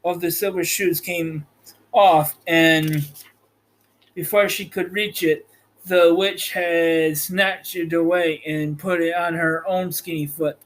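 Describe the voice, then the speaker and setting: A man narrates a storybook at a slow pace. He reads from a script in a halting way, sometimes tripping on a word and taking it again. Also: fairly high pitch, fairly even intonation. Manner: halting, stumbles over words while reading. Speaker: audiobook narrator and host.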